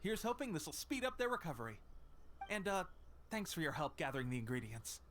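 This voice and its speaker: A man's voice: fairly high pitch, sing-song, a little fast, fairly bright tone, slight background noise. A man playing an eager, friendly young soldier in an anime fantasy game, earnest and chatty. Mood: relieved, grateful.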